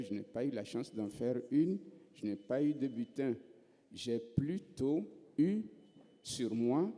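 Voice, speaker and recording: A man's voice: medium pitch, mellow. A man from Togo, a writer on a festival panel, telling his story with wry, self-mocking humor. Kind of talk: reflective panel talk. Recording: reverberant festival hall.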